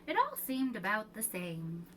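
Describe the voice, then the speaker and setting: A woman reading a children's picture book aloud as a preschool storyteller, with expressive, measured delivery and emphatic pauses. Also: medium pitch, noisy background.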